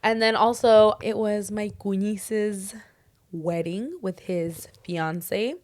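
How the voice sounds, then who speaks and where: A young woman co-hosting a podcast in casual chat, talking in a hoarse voice that is still recovering, full of "like" and "dude.". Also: mid-range voice, fairly even intonation, slow, darkish tone. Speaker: podcast co-host.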